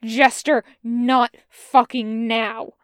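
A woman's voice: flat delivery, slow pace, no room sound, clean studio sound. Brooding storybook man, harsh and clipped, as a woman reads him. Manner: clipped, growling, low and tense.

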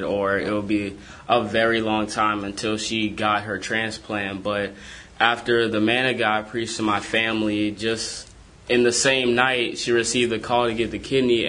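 Earnest eighteen-year-old man, flat delivery. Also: on the low side. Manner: earnest, sincere testimony. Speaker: podcast co-host. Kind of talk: casual faith conversation.

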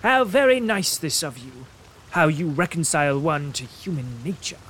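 A man voicing a fussy, peevish, languid invalid gentleman who talks in an affected, whining way. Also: fairly high pitch, lively intonation, moderate pace, a little hiss or hum, low-quality recording. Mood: teasing.

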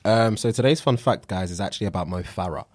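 A man's voice: low voice, quick pace, no room sound. A man with a London British accent, co-hosting a podcast in a casual, slangy conversational way, repeating phrases for emphasis. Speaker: podcast co-host.

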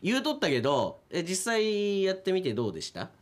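A radio host with a mid-range voice runs a casual chat with guests. The delivery is extremely animated, with big pitch swings. The host teases and laughs easily. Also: brisk. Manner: teasing, laughs often. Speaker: host and director of a radio drama project. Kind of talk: casual post-recording talk with guests, interviewing.

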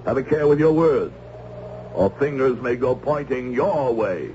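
An actor playing a stern, righteous Puritan reverend in an old-time radio drama, speaking in formal, archaic, moralizing phrases. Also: male voice, medium pitch, lively intonation, measured pace, close to an everyday voice. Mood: stern, indignant. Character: stern zealous Puritan reverend, righteous witch-hunting preacher. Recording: muffled, noisy old radio broadcast.

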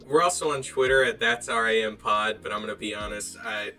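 Guy cohosting a podcast, bright voice, jokey casual chat, swears a bit, some music behind. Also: lowish voice, fairly quick. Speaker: podcast co-host. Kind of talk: casual, joking banter.

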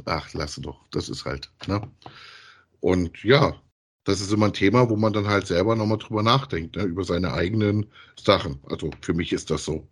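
A man's voice: low-pitched, normal speed, slightly rough. A man co-hosting a podcast in casual discussion, giving his opinions in an emphatic, colloquial way and often seeking agreement. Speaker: podcast co-host reviewing audio dramas.

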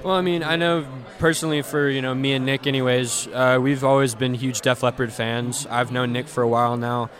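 A seventeen-year-old man in a casual outdoor interview, his voice sitting at an ordinary middle pitch. He talks in a relaxed way, filling gaps with plenty of ums. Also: fairly quick, hardly any pauses. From Memphis area, US. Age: teens. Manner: relaxed, many um fillers. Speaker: band drummer, guest.

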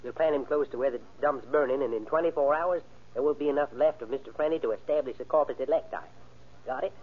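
A man's voice: medium pitch, quick pace, husky, lightly acted. A man plays a gangster boss on a tinny, narrow-band old radio show. He is cocky and cold, scheming in slangy tough-guy talk and giving orders in a middling male voice. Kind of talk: old-time radio crime drama acting.

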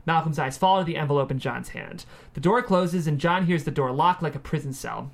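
A man's voice: on the high side, a little fast, creaky. A man reading the stage directions as narrator of a screenplay table read.

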